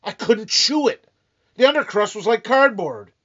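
A man playing a groggy, grumpy husband in a radio-style comedy, tossing off deadpan wisecracks. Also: sits high, normal speed, exaggerated voice. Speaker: community theater actor. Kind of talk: old-time radio comedy reading. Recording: dull lo-fi recording.

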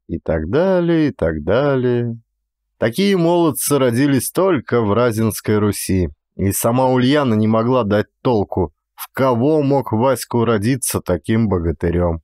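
A man reading a classic story as an actor-narrator, with expressive scripted delivery. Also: medium pitch, no echo, clean studio sound. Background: music.

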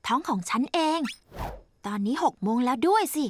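High-voiced brainy little cartoon girl. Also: big pitch swings, normal speed, noisy background. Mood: chatty. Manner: formal, technical lecturing. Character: precocious little four-year-old girl scientist, matter-of-fact. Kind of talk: animated cartoon dub.

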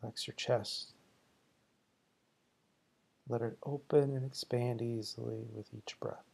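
A man leading a guided meditation in slow, deliberate, soothing short phrases, with a husky, gravelly voice. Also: medium pitch, fairly even intonation.

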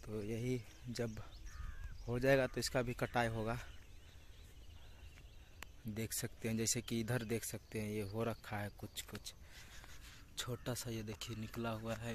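A man's voice: lowish voice, little pitch movement, moderate pace. A young man from Bihar with a Bihari accent, casually narrating a farm tour for a vlog in a repetitive, explanatory way, pointing things out. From Bihar, India. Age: young adult. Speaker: vlogger. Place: open farm fields outdoors. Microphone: handheld phone. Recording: outdoor phone recording with clear background noise. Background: outdoor rural ambience.

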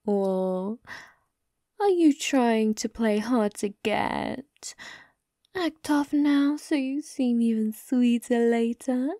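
Slow, bratty yandere captor played by a woman. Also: a little high, dark tone, no room sound, studio quality. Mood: cold threatening. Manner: taunting, teasing, cooing, breathy drops to whisper. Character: young possessive yandere captor, bratty, sadistic, mockingly sweet. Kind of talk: ASMR audio roleplay.

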